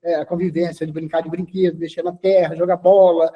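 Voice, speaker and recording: Husky high-voiced man in his sixties. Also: flat delivery, brisk, dull audio. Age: 60s. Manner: lecturing, tags sentences with "tá?". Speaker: interview guest. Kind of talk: casual interview talk.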